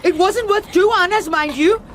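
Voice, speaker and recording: A woman's voice: very high voice, normal speed, background noise. An actress playing a spiteful, bossy servant woman in a radio drama, chattering and scolding with glee. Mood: gleeful scolding.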